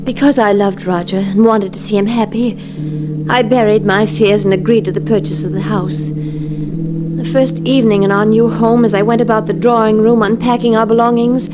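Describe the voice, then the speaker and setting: An actress playing an uneasy, fearful wife in a ghost story, in dramatic old radio acting style. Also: female voice, mid-range voice, fairly even intonation, normal speed, very noisy, lightly acted. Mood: mounting dread. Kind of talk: 1950s radio drama acting, first-person narration.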